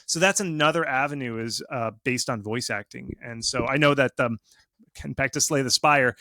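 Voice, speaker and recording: A man giving casual, animated explanations as an expert guest in an interview, speaking informally and with emphasis. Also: medium pitch, dry recording, clean studio sound. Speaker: video game marketing expert, interview guest.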